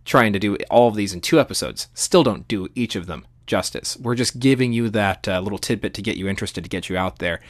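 A man's voice: on the low side, fairly quick, hardly any pauses, no room sound, studio quality. A man co-hosting a podcast, explaining history in an enthusiastic, conversational and emphatic way. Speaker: podcast co-host.

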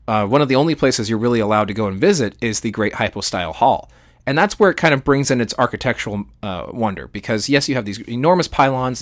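A man's voice: mid-range voice, dry recording, studio quality. A man co-hosting a podcast, explaining history in an enthusiastic, conversational and emphatic way. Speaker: podcast co-host.

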